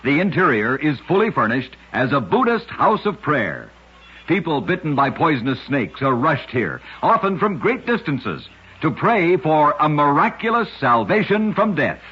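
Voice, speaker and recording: A man hosting an old-time radio show, narrating short scripted oddity tales in a husky, rough-edged voice. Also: on the high side, very animated, moderate pace. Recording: dull, lo-fi old radio recording.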